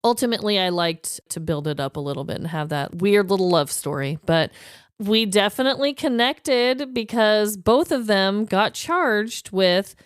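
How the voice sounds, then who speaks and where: A woman co-hosting a podcast in casual comedic chat, chatty and expressive in an informal way, close on the microphone. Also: mid-range voice, normal speed, clear, resonant tone, no echo. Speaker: podcast co-host.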